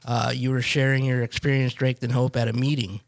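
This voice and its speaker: Gravelly mid-pitched male host, 40s, casual and rambling. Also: little pitch movement, brisk. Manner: friendly, rambling, restarts often. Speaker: podcast host. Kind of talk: casual interview.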